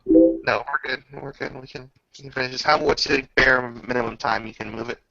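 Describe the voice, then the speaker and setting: A man's voice: mid-range voice, brisk, vocal fry, pushed and bright, dry recording, dull audio. A man casually running a tabletop roleplaying game as game master.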